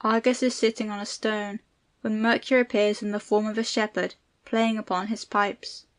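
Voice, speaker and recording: A woman's voice: mid-range voice, level tone, normal speed. A woman reading stage directions as scripted narration for an audio play.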